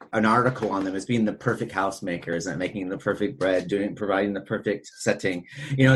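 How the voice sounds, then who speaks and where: A man with a fairly low, distinctly husky voice talks casually as a podcast host. His delivery is unscripted and conversational. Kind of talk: casual film discussion.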